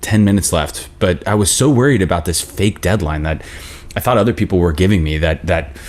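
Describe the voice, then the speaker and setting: A man acts out an amiable, slightly awkward dentist on a date. His voice sits very low, and the delivery is conversational, like a live reading of a screen comedy. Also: a little fast, natural voice. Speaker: actor in a table read.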